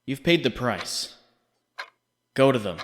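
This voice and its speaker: A man playing a haunting inner voice, narrating in the second person with deliberate, accusing, dramatic delivery. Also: medium pitch, sing-song, a bit bright. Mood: coaxing, ominous. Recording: long reverb, faint background noise.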